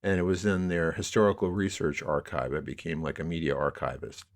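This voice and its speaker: A man in his sixties with an American accent and a low-pitched voice. He talks as an interview guest, unfolding his thoughts in a reflective, discursive way and leaning on key words. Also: steady tone, fairly quick. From New York area, United States. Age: 60s. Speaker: interview guest, media producer.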